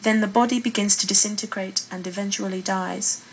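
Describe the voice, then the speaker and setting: A woman's voice: medium pitch, steady tone, brisk. A British woman, a playwright and poet, speaking earnestly as an interview guest. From Britain. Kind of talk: earnest interview talk with read-aloud passages. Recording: clearly noisy room ambience.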